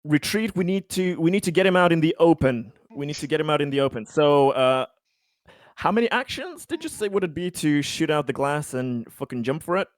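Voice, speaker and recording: Halting man with a dry, close sound. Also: on the high side, lively intonation, no room sound. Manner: halting, repeats and stammers as he reasons aloud. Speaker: tabletop roleplaying player. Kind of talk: casual game chat.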